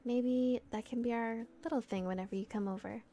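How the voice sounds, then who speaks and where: A young woman playing a friendly, bashful, teasing older sister in a gentle, chatty ASMR roleplay. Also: fairly high pitch, fairly even intonation, a little fast, slight background noise, close to an everyday voice. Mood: warmly teasing. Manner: gentle, giggly, bilingual English and Japanese. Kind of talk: soft ASMR roleplay, casual chat.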